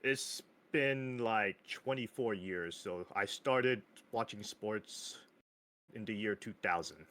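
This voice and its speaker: Thirties guy, podcast guest, unhurried delivery, bright edge to the tone, halting and giggly. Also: fairly low pitch. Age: 30s. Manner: slow, hesitant, laughs often. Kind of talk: casual chat.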